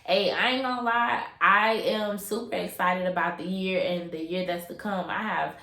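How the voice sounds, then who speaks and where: A woman's voice: medium pitch, steady tone, moderate pace, bright, forceful tone, reverberant. A young woman hosting her own podcast, chatting casually with lots of slang and fillers, a little congested from a cold. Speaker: podcast host. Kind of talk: casual solo podcast chat.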